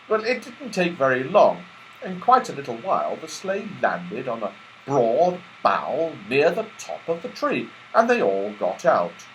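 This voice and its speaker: A man reading a children's story aloud as an expressive audiobook narrator. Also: medium pitch, a little hiss or hum, poor audio. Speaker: audiobook reader.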